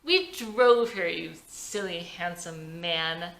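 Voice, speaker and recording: A woman's voice: fairly low pitch, slow, creaky, a bit bright, roomy sound, heavily acted. A woman voicing a tipsy, clingy married woman with a playful, teasing manner in a script reading. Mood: tipsy, flirty.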